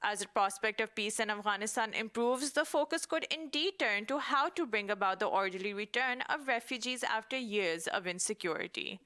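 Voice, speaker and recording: A woman correspondent narrating a scripted news report in a measured voice-over. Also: medium pitch, animated, pushed and bright.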